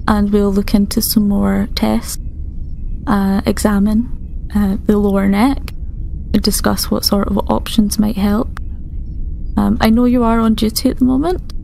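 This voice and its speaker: A woman playing a tactful starship doctor in improvised tabletop roleplay, speaking in a measured, hesitant, gently clinical way. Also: medium pitch, gravelly, a little hiss or hum, low-quality recording. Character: calm, tactful female starship doctor reassuring a patient.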